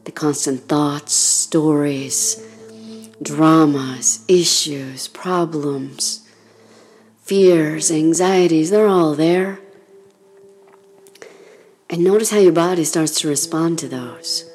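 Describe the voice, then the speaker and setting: A woman leading a guided meditation in a soft, breathy, soothing voice with gentle pauses, often close to a whisper. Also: low-pitched, faint music behind. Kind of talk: guided meditation, body scan narration.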